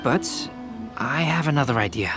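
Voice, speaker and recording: Casting: man as analytical young game alchemist, medium pitch, deliberate and composed, clear music bed. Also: expressive, close to an everyday voice. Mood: pensive. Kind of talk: video game character acting. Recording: loud music behind the voice.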